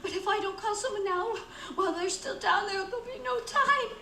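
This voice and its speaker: A woman's voice: extremely high pitch, fairly quick, pushed and bright, exaggerated voice. A woman playing a fretful, demanding invalid who is alone and frightened, repeating herself breathlessly in a radio suspense play. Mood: frightened, suspicious. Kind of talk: radio suspense drama acting. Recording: roomy echo with faint background noise.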